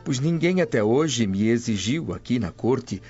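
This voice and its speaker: An actor playing a serene, dignified freed slave and father in a radio drama, with measured, formal speech. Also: mid-range voice, lively intonation, lightly acted. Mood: calm, dignified. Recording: dull lo-fi radio drama, music underneath. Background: soft music.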